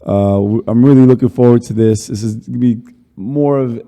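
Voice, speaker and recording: A man's voice: medium pitch, fairly quick, warm tone, big-room sound. A man hosting a podcast interview, talking in a rambling way with many restarts. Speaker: podcast host. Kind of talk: casual interview.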